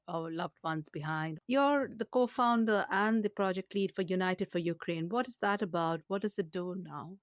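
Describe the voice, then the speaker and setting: A woman with a Mancunian accent hosts a podcast interview in a slightly low voice. Her delivery is polished and scripted in the introduction, then turns to gentle questions. The close mic sounds dry, with no room around it. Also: no echo. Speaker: podcast host.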